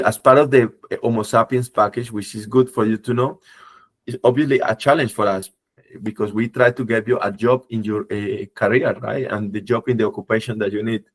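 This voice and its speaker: A man presenting a webinar, his voice a bit low and tired from travel, speaking in non-native phrasing with frequent fillers and tag questions. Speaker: company head presenting a webinar. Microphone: video call.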